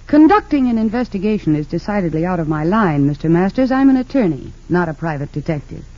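An actress playing a composed, principled woman defense attorney in an old radio drama, formal and measured as she questions. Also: fairly low pitch, very animated, mellow, dry recording. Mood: polite, reserved. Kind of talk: 1940s-50s radio drama acting. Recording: dull lo-fi old radio recording.

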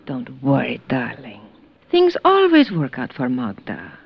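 A woman's voice: a bass voice, wildly swooping pitch, measured pace, creaky, full character voice. An actress playing a proud, self-willed yet tender fugitive woman in a theatrical 1950s radio western. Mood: tender, firm. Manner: theatrical, refers to herself by name. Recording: dull vintage 1950s radio recording.

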